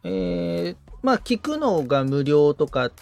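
Gravelly-voiced assistant asking curious questions. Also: mid-range voice, very animated, measured pace, darkish tone, faint music behind. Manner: curious, hesitant, many fillers. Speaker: podcast assistant, asks questions. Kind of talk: casual chat.

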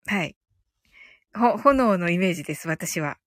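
A woman's voice: fairly low pitch, lively intonation, normal speed, fairly bright tone, no room sound, studio quality. A woman hosting a casual live audio chat, reading out listener comments in a chatty, polite way and often giggling as she talks. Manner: giggly, laughs often while talking.

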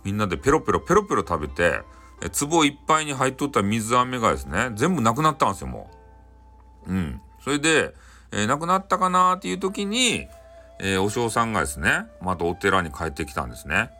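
A man with a Hakata dialect informally telling a bedtime folktale in a folksy, conversational way with halting phrases. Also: on the low side, sing-song, bright, forceful tone, soft background music. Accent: Hakata, Japan. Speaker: storyteller.

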